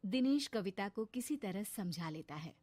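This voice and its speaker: A woman's voice: medium pitch, animated, moderate pace, a little mellow, no echo. A woman delivering scripted story narration as the narrator.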